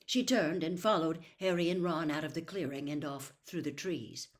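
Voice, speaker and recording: A woman reading a storybook aloud as an expressive narrator of scripted fiction. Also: deep voice, moderate pace. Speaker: audiobook narrator.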